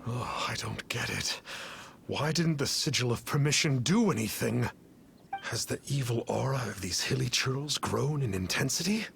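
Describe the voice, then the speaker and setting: A man's voice: mid-range voice, expressive, moderate pace, creaky, fairly bright tone, slight background noise. A man voicing a boastful, easily flustered exorcist in a video game, speaking in pompous, archaic, theatrical phrases. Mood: baffled. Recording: game music behind the voice.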